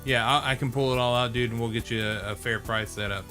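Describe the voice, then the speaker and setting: A man's voice: mid-range voice, steady tone, quick pace, bright, forceful tone, soft background music. A man co-hosting a podcast, chatting casually in a rambling way that circles back mid-thought. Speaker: podcast co-host. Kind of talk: casual chat.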